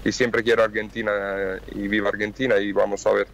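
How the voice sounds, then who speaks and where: A man's voice: on the low side, little pitch movement, fairly quick, vocal fry. A man from Naples with an Italian accent, a friendly radio guest who searches for words in a language that is not his first. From Naples, Italy. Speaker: guest on the phone.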